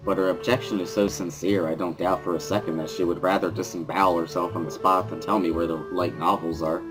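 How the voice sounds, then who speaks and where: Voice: low-pitched, flat delivery, quick pace, music in the background. A storyteller giving a dramatic reading of a first-person story as narrator.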